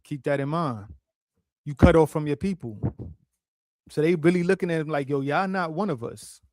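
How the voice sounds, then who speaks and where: Male Bible study leader, a touch higher than most men, dry close sound, informal talk that keeps checking in with the group. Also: normal speed, a little mellow, dry recording. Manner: call-and-response, keeps checking in with listeners; informal, conversational phrasing. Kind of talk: interactive scripture teaching.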